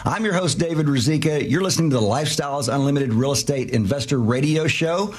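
A man's voice: a little high, fast talker. A man hosting a radio interview with enthusiasm, often repeating himself and restarting sentences. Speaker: radio show host.

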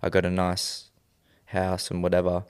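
A man's voice: deep voice, monotone, moderate pace, a little mellow, smooth. A man, laid-back and slangy in casual podcast chat. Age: 20s. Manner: laid-back, slangy, says "bro" often. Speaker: podcast guest.

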